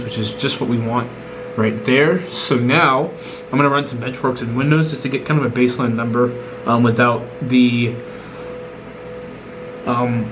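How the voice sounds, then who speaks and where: A man with a stuffy, congested cold, talking casually through a hands-on computer build in a relaxed, chatty way, with frequent ums and self-corrections. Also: medium pitch, background noise. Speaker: YouTube tech vlogger. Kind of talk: casual hands-on tech vlog narration.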